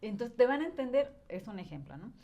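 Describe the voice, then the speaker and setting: A woman's voice: fairly high pitch, big pitch swings, a little fast, warm tone. A woman, a language educator and podcast guest, explaining at length in casual conversation with frequent filler words.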